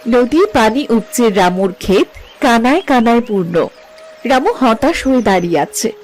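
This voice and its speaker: A woman's voice: fairly high pitch, lively intonation, normal speed, a little mellow, a little hiss or hum. A woman narrating a scripted moral story in a storytelling style. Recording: music behind the voice. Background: background music.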